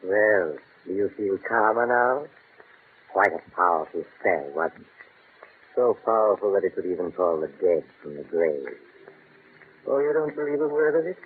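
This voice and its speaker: An actor playing a calm, sinister host of horror tales, speaking slowly and insinuatingly in 1940s radio drama style. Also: male voice, sits low. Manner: slow, insinuating, mock-sympathetic. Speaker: storyteller host of the show. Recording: tinny old radio transcription, faint hiss.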